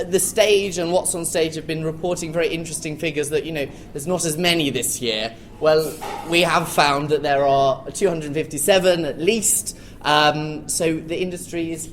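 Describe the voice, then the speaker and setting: A man with a light voice gives an informed rundown as a researcher on a discussion panel. He talks in measured factual points, and the live recording carries some background noise. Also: fairly high pitch. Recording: noisy live room recording.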